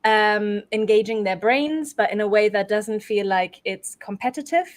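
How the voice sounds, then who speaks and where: A woman's voice: mid-range voice, moderate pace. Thoughtful woman from Europe, explaining. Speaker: guest, improv theatre performer and organiser. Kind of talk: conversational explanation in an interview.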